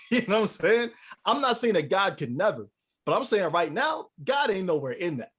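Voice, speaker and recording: A man's voice: sits high, big pitch swings, moderate pace, fairly bright tone, husky. A man co-hosting a casual faith-based talk show who talks in slangy, emphatic bursts and laughs mid-sentence. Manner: slangy and emphatic, keeps asking "you know what I'm saying?"; laughs while talking. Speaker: podcast co-host.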